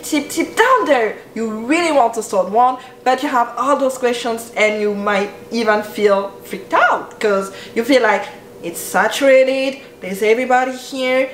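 A young woman speaks earnestly and encouragingly to camera, her voice a little high. She talks in a bedroom that sounds roomy and echoey, with the camera at medium distance. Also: a little hiss or hum. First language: French. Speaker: style coach and YouTuber. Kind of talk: motivational vlog talk to camera. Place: bedroom with clothes rack and shelves, indoors.

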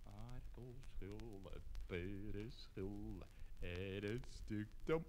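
An actor playing a chatty, curious peel collector who mutters and exclaims to himself in a children's musical play. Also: male voice, on the low side, slow, darkish tone. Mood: curious, hesitant. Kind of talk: old children's musical radio-play acting. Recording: faintly noisy old record.

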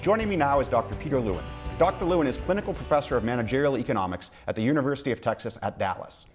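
A young man hosting a studio interview show, asking questions briskly and introducing guests. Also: mid-range voice, lively intonation, music in the background. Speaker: show host. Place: TV studio set, seated in armchair.

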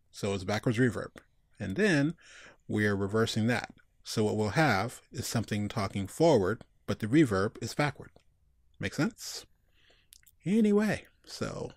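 A man's voice: lowish voice, dry recording, clean studio sound. A man giving a casual screencast tutorial, informally talking through each step and thinking aloud. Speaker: tutorial instructor.

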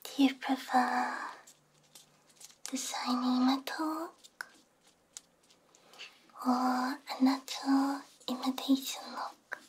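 A young woman performing a gentle, soothing ASMR roleplay in a hushed whisper with soft hums, close on a binaural microphone. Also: high voice, steady tone, takes it slowly, pauses often, noisy background.

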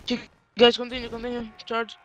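High-voiced man shouting game orders. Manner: barks quick commands, excitable. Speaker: line battle officer giving orders. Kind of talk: in-game voice chat.

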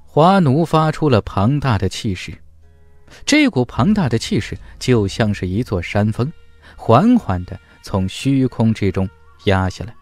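A man's voice: medium pitch, big pitch swings, unhurried, no echo. A man narrating a fantasy audiobook in a dramatic scripted storytelling style. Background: faint music.

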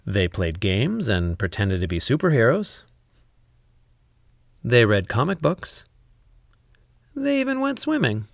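A man reading a children's story aloud, expressively and deliberately with dramatic pauses. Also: medium pitch, very animated, gravelly, no room sound, clean studio sound. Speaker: storybook reader. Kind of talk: scripted children's story narration.

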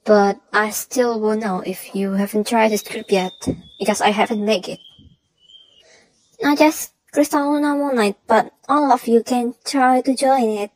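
A woman with an altered voice reading out a prepared announcement in simple, halting phrases. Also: a little high, steady tone, a little mellow, no echo, poor audio. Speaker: channel creator announcing audition winners. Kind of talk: semi-scripted announcement, reading prepared notes.

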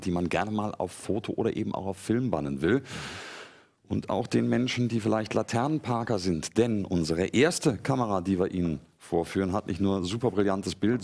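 A man in his forties shows off a gadget on a TV shopping set. He explains every feature eagerly in a low voice, talking into a headset mic in the studio. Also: a little mellow. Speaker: product presenter. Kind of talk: teleshopping product demo.

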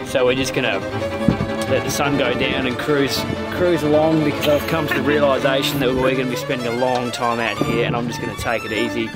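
A man's voice: medium pitch, level tone, fairly quick. Male, 30s, Australian accent, easygoing sailing vlogger, casual rambling explainer, music underneath. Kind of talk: casual explanatory vlog talk. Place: aboard a small sailboat, in the cabin and on deck.

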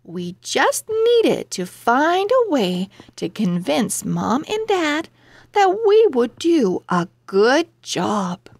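A woman reading a children's storybook aloud in a deliberate, expressive way meant for young listeners. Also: high-pitched, dry recording, studio quality. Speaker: children's book reader. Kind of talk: read-aloud storybook narration.

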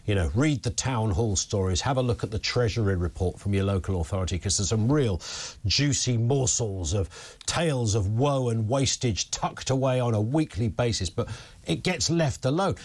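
A man's voice: fairly low pitch, animated, moderate pace, darkish tone. A man, hosting a talk show interview in a television studio with a lapel mic, chatty and opinionated with casual asides. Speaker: news talk show host. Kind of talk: conversational broadcast interview.

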